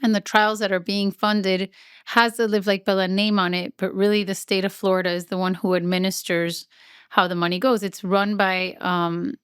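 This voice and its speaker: A middle-aged woman in casual interview conversation, chatty and self-deprecating. Her voice is smooth and resonant, sitting at an ordinary pitch. The sound is dry and close. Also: flat delivery, normal speed, no echo. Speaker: nonprofit CEO, guest.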